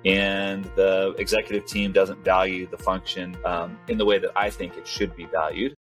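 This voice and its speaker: A man's voice: low voice, little pitch movement, moderate pace, music in the background. A man who grew up in Venezuela and California, first language Spanish, talking as a guest in a conversational interview, rambling with many fillers and restarts. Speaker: guest, startup CEO.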